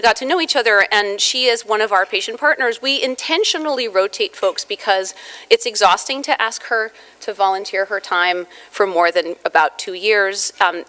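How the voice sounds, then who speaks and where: A woman's voice: mid-range voice, pushed and bright. A woman family doctor gives chatty welcome remarks to an audience, full of restarts and fillers. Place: lecture room with audience.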